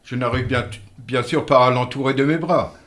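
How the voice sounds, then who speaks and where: A man's voice: mid-range voice, fairly even intonation, a bit bright. Older man telling local history. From Moselle, France. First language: Alsatian-Lorraine Franconian dialect. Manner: earnest, measured storyteller with halting restarts. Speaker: interview guest. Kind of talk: radio interview storytelling. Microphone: studio microphone.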